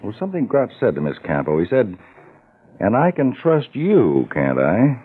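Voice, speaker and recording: An actor playing an authoritative, shrewd male law-enforcement chief in an old-time radio crime drama, brisk and emphatic. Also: medium pitch, wildly swooping pitch, slightly rough. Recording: muffled, narrow old radio recording.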